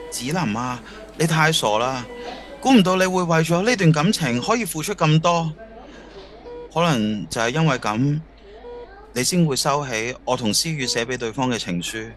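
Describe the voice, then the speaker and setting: A man's voice: on the high side, lively intonation, moderate pace, fairly bright tone, in a large hall, soft background music. A man playing a lovesick, torn teenage schoolboy in an audio drama, with earnest, emotional delivery. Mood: subdued, regretful. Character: lovesick, torn teenage schoolboy who has travelled back in time. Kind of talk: Cantonese audio drama acting.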